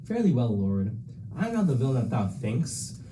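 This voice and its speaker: A man's voice: mid-range voice, sing-song, normal speed, mellow, a little hiss or hum, lightly acted, poor audio. A young man playing a passionate, loyal nobleman in a student Shakespeare dramatization, declaiming archaic verse with emotion. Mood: bitter despair. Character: passionate, loyal Scottish nobleman, anguished for his country.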